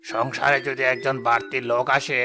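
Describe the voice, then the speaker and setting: A man's voice: medium pitch, flat delivery, normal speed, close to an everyday voice. A man playing a bossy, miserly, rich older village chief in a cartoon, speaking in a commanding and condescending way. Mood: self-important. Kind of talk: Bengali cartoon voice acting.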